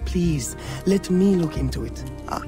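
A polite, nervous young colonial clerk in a game cutscene. His high voice stammers deferentially over clear music. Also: lively intonation, unhurried. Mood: nervous, placating. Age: young adult. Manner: hesitant, stammering. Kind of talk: video game cutscene acting. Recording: music behind the voice.

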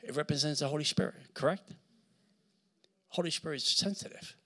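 A middle-aged man with a Puerto Rican accent preaching a sermon with a handheld mic in a large church hall, swinging between shouts and near-whispers. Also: a little high, brisk. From Puerto Rico. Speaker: guest preacher.

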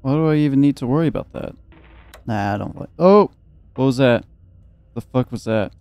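A man's voice: medium pitch, lively intonation, moderate pace, darkish tone, smooth, no room sound. A young man giving casual let's play commentary, joking and swearing and at times reading game text aloud. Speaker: gaming video host. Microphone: close, dry microphone.

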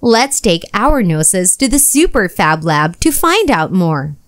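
A woman's voice: medium pitch, sing-song, slow pace, dry recording, clean studio sound. A woman voicing an encouraging female schoolteacher in a storybook, explaining things in an instructive manner.

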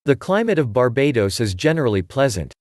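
A man narrating an educational video, reading out facts and figures in an even manner. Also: mid-range voice, a little fast, dry recording, studio quality.

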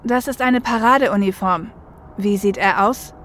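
A woman's voice: mid-range voice, unhurried, a bit bright, slight background noise, natural voice. Woman acting a seasoned female getaway driver. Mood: concerned. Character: seasoned female shadowrunner getaway driver, protective older sister. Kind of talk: audio drama acting.

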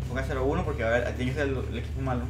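A man's voice: medium pitch, steady tone, very fast. A young adult man hosting a livestream, chatting casually and upbeat as he talks directly to viewers. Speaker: livestream host reading viewer comments. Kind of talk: casual excited stream chat. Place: indoor room, on webcam. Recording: echoey room with music behind.

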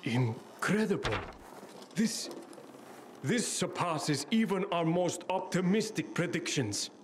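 Game scientist, slow careful talker, highish lively voice, kinda professorial. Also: male voice, full character voice. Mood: awed. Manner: measured, hesitant, professorial. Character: deliberate, evasive middle-aged male eccentric scientist. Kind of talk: video game cutscene acting. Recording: clearly noisy game ambience behind.

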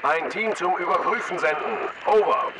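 Game military radio operator, fairly high voice, clipped commands, clearly noisy background. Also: male voice, moderate pace. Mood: commanding, urgent. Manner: clipped, procedural, authoritative.

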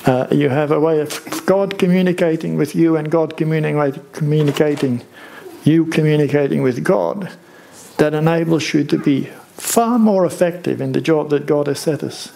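An older man, preaching a sermon from a church stage in a conversational, unhurried way with rhetorical questions. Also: fairly high pitch, gravelly, warm tone, slight background noise.

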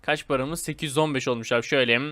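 A man giving casual, excitable gameplay commentary in informal slang, talking straight to his viewers. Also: medium pitch, racing, no echo. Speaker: gaming video host.

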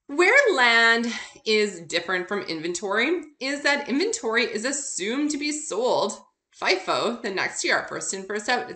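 A woman with a bright, forward voice delivers an instructional lecture in an informal way. She sounds upbeat and encouraging, speaking straight to her students as she explains. Her pitch sits in an ordinary middle range for a woman. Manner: upbeat, encouraging, addresses listeners with questions. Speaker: accounting instructor. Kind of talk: lecture narrated over slides, informal.

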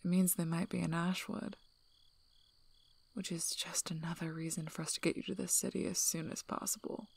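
A guarded female werewolf alpha, played by a woman in an ASMR role-play. She speaks softly in a lowish, husky half-whisper with heavy vocal fry. Her delivery is dry, unhurried and wryly protective. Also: flat delivery, no echo. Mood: low, softening.

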